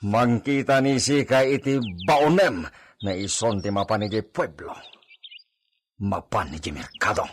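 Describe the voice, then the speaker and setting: A man's voice: lowish voice, measured pace, a little hiss or hum, natural voice. A man with an Ilocano Filipino accent playing a harsh, domineering rancher in a radio drama, scolding imperiously. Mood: commanding, curt. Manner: imperious, loud outbursts, scolding. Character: harsh, domineering rancher master berating his servants.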